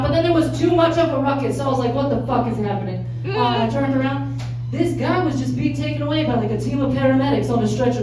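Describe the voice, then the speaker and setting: A woman performing stand-up comedy, telling stories in a casual, profane, conversational way with self-deprecating asides. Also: sits high. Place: live comedy club stage. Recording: echoey room, far from mic, live audience. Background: laughing audience.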